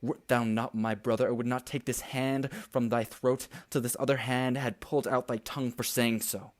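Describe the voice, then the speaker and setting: Proud young nobleman, youngest brother, male actor, impassioned and defiant, classical radio drama, mid pitch. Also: flat delivery, normal speed, natural voice. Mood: angry, defiant. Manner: declamatory, impassioned. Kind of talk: Shakespearean radio play acting.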